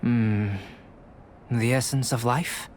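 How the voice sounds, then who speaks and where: A man playing a calm, analytical young alchemist in a video game, speaking in a measured, formal and cerebral manner. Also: medium pitch, slight background noise, close to an everyday voice. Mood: curious, polite. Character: calm, detached young male alchemist and researcher, analytical and polite. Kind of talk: video game character dialogue. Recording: music behind.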